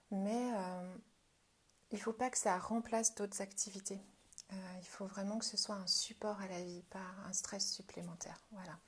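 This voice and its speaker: A woman leading a guided self-help practice as an instructor, speaking informally in a gentle, reassuring way, with a breathy voice that often drops toward a whisper. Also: mid-range voice, a little hiss or hum. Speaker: Jin Shin Jyutsu self-help instructor.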